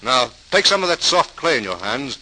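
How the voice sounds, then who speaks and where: An actor playing a patient, responsible older brother who instructs practically, in a 1940s radio drama. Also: male voice, medium pitch, very animated, normal speed, pushed and bright, exaggerated voice, poor audio. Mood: patient, brisk. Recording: hissy 1940s radio recording.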